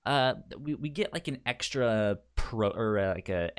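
A man's voice: medium pitch, animated, unhurried, clear, resonant tone. A man co-hosting a casual book discussion podcast, jokey, who often stammers and restarts his words. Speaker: podcast co-host.

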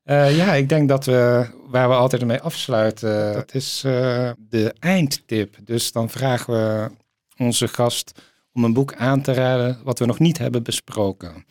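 A man's voice: medium pitch, steady tone, unhurried, a little mellow, dry recording, studio quality. A man hosting a podcast, asking questions in casual conversation. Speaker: podcast host.

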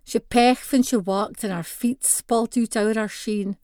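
A woman's voice: on the high side, a little slow, no room sound. Scottish woman reading a story, close and dry. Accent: North-East Scottish (Doric). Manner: expressive, acts out dialogue in dialect. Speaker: author reading own short story. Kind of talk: scripted narration, first-person storytelling reading.

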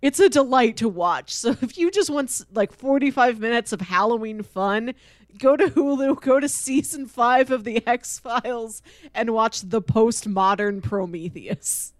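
A woman's voice: fairly high pitch, expressive, unhurried, no room sound, clean studio sound. A woman co-hosting in casual chat, telling things in an informal, chatty way. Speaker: podcast co-host. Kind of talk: casual chat recommending shows.

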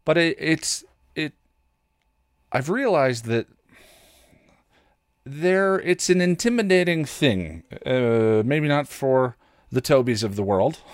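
A man hosting a casual solo podcast, talking in a rambling, halting way as he thinks aloud. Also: fairly high pitch, lively intonation, dry recording, studio quality. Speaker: podcast host and content creator.

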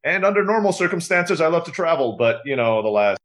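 A man's voice: on the high side, big pitch swings, a little fast, fairly bright tone. A man from Istanbul, Turkey, explaining things casually and fluently as an interview guest, with self-deprecating asides. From grew up in Istanbul, Turkey. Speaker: podcast guest, entrepreneur.

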